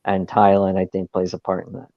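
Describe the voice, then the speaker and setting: A man's voice: low voice, monotone, brisk, creaky, dry recording, highs cut off. A man chatting as a guest, hesitant and often qualifying his points. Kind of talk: casual chat.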